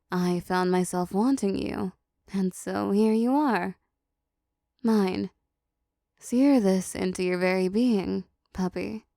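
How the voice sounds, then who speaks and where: A woman voicing a possessive, seductive female demon in an intimate audio roleplay, softly teasing, dominant and doting. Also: medium pitch, gravelly, dry recording, clean studio sound.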